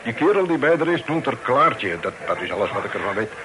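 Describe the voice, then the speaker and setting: An actor playing a plain-spoken, hospitable island innkeeper with a folksy manner in a radio drama. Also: male voice, mid-range voice, sing-song, fast, noisy background, close to an everyday voice. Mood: grumbling, matter-of-fact.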